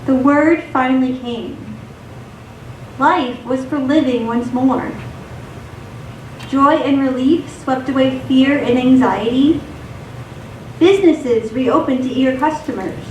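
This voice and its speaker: A woman reading scripted poetry and stories in a measured, recited delivery for radio theatre. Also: high voice. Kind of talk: scripted poetry and story narration. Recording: distant echoey stage recording, noisy.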